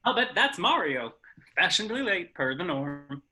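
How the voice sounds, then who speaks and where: A woman's voice: on the low side, very animated, normal speed, pushed and bright. A woman playing a chipper, polite male video-game handyman hero with a folksy manner. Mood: cheerful.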